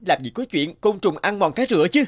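A man voicing an earnest poor young farmer in an animated folk tale, full of exclamations and indignant questions. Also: high voice, a little fast, dry recording. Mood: indignant, astonished.

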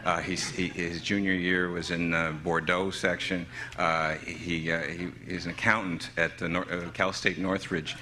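A middle-aged man telling a humorous anecdote on stage with halting, stammering delivery. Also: deep voice, flat delivery, pushed and bright. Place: indoor stage. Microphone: podium microphone. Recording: noisy live stage recording.

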